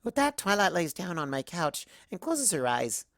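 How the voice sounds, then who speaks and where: A woman's voice: lowish voice, big pitch swings, normal speed, no echo, clean studio sound. A woman narrating a story expressively in character, as a fan fiction reader.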